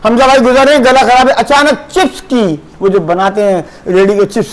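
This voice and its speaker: A man with a Pakistani accent teaching a classroom lecture, lively and conversational, switching between Urdu and English. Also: very high-pitched, fairly quick, low-quality recording. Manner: animated, switches between Urdu and English, acts out students' voices.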